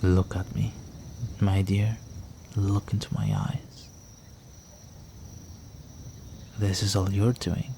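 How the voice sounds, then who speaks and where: Low, slow, seductive vampire played by a man. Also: level tone, mellow, a little hiss or hum, exaggerated voice. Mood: intense, enamoured. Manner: courtly, flirtatious, teasing, breathy with drops into whisper. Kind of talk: intimate scripted roleplay audio drama.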